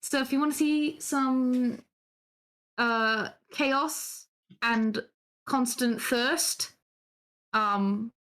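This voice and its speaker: A woman's voice: high-pitched, very slow, long pauses, fairly bright tone. A woman taking part as a player in a tabletop game, stuffy from a cold and giggly and playful in manner.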